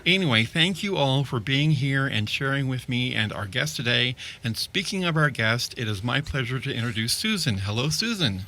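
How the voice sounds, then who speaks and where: A man's voice: medium pitch, lively intonation, normal speed, few pauses, sharp tone. A man hosts a community radio talk show with an ordinary mid-range voice. He moves from a read opening to friendly, upbeat chat with his guest. A faint hiss sits under the recording. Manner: scripted opening, then chatty and upbeat with the guest.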